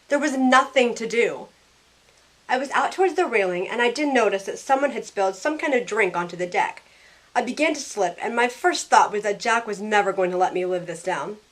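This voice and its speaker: A woman playing a snarky young heroine in an audio drama, narrating in the first person and speaking her lines with wry sarcasm. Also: fairly high pitch. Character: snarky young woman thrown back aboard the Titanic. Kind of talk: first-person scripted narration and acted dialogue.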